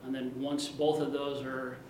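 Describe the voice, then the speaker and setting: A man's voice: medium pitch, little pitch movement, normal speed, noisy background. Man, staff member, explains voting rules, lots of ums, echoey room. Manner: explanatory, many fillers. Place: meeting room. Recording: roomy meeting hall.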